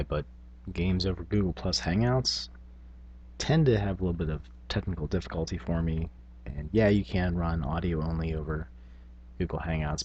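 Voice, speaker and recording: Low-pitched, husky man chatting casually. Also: a little mellow, dull audio. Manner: informal, thinking aloud. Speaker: tabletop gaming video blogger. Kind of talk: casual talk to camera.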